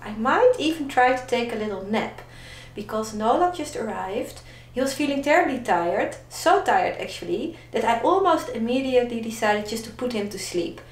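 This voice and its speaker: A woman's voice: on the high side, expressive, normal speed. A young Dutch woman with a Dutch accent, chatting playfully and self-mockingly in a casual home vlog. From Netherlands. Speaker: vlogger. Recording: echoey room, faint background noise.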